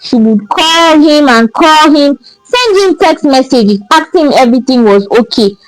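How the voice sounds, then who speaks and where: A woman's voice: high voice, expressive, normal speed, dry recording, faint music behind, rough recording. A woman with a Nigerian accent narrating a folktale expressively as a storyteller.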